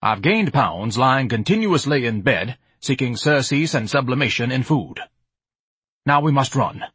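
A man's voice: medium pitch, animated, a little slow, a bit bright, slightly rough, no room sound, pitched above the performer's own voice, full character voice. A man voicing a pompous, self-pitying, overweight grown man who talks in grand, melodramatic speeches.

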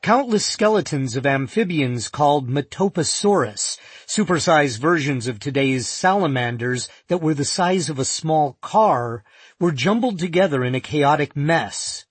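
Man narrating a nonfiction audiobook, husky. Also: on the high side, expressive, no echo. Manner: measured, vivid storytelling.

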